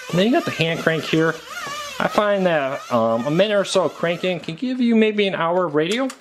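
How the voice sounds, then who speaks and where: An American man giving a casual, unscripted product review in a conversational, matter-of-fact way. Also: mid-range voice. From United States.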